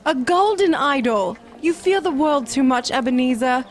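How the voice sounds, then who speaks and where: Woman as a high-voiced, sorrowful young fiancée. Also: lively intonation, moderate pace, faint music behind. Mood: hurt, resigned. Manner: earnest, sorrowful. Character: hurt, resolute young fiancée of modest means. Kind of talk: theatrical audio drama acting.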